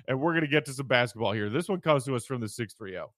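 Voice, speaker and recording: Male sports podcast host, bright forceful voice pitched a bit high, informal and joking, dry close sound. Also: expressive, fast talker, dry recording. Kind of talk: casual sports talk, voicemail reactions.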